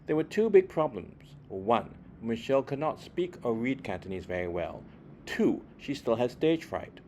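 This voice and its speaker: A man reading a children's story aloud expressively, with deliberate phrasing and dramatic emphasis. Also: medium pitch, very animated, warm tone, a little hiss or hum. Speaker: children's book reader.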